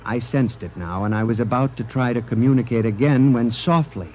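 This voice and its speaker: An actor playing an obsessed, fearful office clerk, narrating in an earnest, theatrical 1950s radio drama manner. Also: male voice, mid-range voice, brisk, warm tone, highs cut off, slight background noise, close to an everyday voice. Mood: awed, ominous. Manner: intense, earnest, formal, theatrical. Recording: 1950s radio broadcast with music behind.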